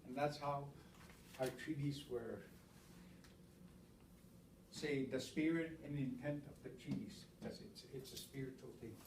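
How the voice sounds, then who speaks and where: A man's voice: medium pitch, steady tone, unhurried, long pauses, a little mellow, reverberant, recorded from a distance, slight background noise, rough recording. A man whose first language is Stoney, teaching in an informal spoken way, repeating words, counting aloud and restarting phrases. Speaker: elder teacher.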